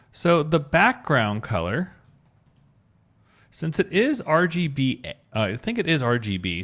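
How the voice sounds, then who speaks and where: Male tutorial presenter, medium pitch, very varied intonation, informal think-aloud delivery, walks through technical steps. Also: a bit bright. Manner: thinks aloud, spells out code terms step by step. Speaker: web design tutorial presenter. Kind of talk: informal screencast coding tutorial.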